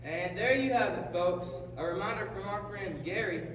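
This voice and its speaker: Big reverberant hall. Male performer as high-pitched Southern host puppet, showy and folksy. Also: moderate pace, fairly bright tone, faint music behind. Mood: upbeat, folksy. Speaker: lead host of a puppet talk show. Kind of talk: scripted comedy talk show skit on stage. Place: large echoey hall, live performance. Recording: echoey hall from far off, noisy.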